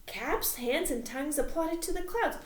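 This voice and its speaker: A panicked messenger, acted by a woman, pushing out his news loud, high and fast. The voice jumps around in pitch with urgency. Echo hangs in the room. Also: very animated, creaky, exaggerated voice. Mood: alarmed. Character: frantic male messenger, breathless with alarm.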